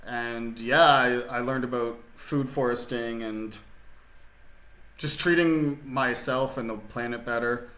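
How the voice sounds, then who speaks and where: Laid-back rambling man, bright roomy voice. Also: mid-range voice, steady tone, takes it slowly. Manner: rambling and laid-back, with many fillers and restarts. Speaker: chess streamer. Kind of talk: casual chat with viewers while playing. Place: home in a rural desert area. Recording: roomy echo.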